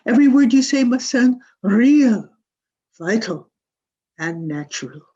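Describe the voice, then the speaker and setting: A woman's voice: medium pitch, dark tone, husky, exaggerated voice. A woman playing a grandiose, exacting drama coach in a 1940s-style radio comedy, with theatrical, emphatic delivery. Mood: grandiose, gushing. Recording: dull, muffled video-call audio.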